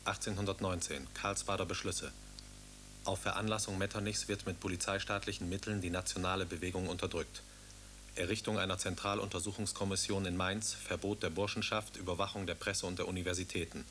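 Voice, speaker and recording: A man's voice: low voice, flat delivery, a little fast, fairly bright tone, slight background noise. A man reading out a historical timeline in a plain, matter-of-fact way. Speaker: announcer.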